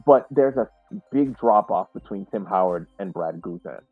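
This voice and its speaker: A man hosting a casual soccer podcast discussion, speaking haltingly and repeating words as he reflects. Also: low voice, expressive, breathy edge, faint music behind. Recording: muffled, roomy sound.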